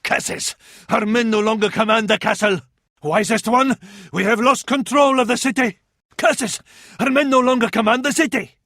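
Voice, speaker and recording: An actor playing a devout, grandiose advisor to a sultan in a video game, with an Arabic accent and flowery, dramatic delivery. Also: male voice, very high-pitched, steady tone, normal speed, pushed and bright, dry recording, clean studio sound, heavily acted. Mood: urgent alarm. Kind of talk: theatrical video game voice acting.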